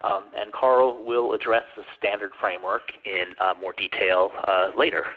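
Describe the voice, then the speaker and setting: A man presenting in a webinar, answering questions with many pauses and fillers. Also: lowish voice, steady tone. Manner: hesitant, many ums and uhs. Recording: tinny phone-line audio.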